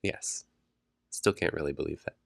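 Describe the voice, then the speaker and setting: A man co-hosting a casual late-night podcast at home, talking softly and adding caveats, a bit congested. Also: a bass voice, normal speed, creaky, dry recording, clean studio sound. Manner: quiet and hedging, laughs easily. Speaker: podcast co-host. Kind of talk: casual chat.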